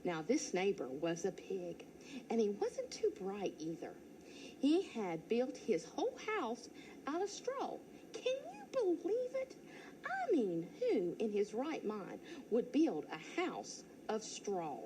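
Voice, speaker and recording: A teacher reading a children's storybook aloud in first person, playful and expressive. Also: female voice, medium pitch, slow pace, a little hiss or hum, rough recording.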